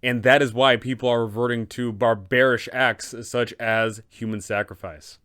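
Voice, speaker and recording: A man's voice: mid-range voice, steady tone, moderate pace, a bit bright, clear, resonant tone. A man hosting an explanatory commentary as a game master, with emphatic, dramatic storyteller phrasing. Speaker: host, tabletop game master giving advice. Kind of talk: explanatory video commentary on role-playing villains.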